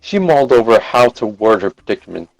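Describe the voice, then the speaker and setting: A man narrates a story in a fairly low voice. He reads live and now and then stumbles and repeats a phrase. The recording is dry, with faint music behind him. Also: very animated, fairly quick, gravelly, no room sound, low-quality recording. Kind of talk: live read-aloud narration.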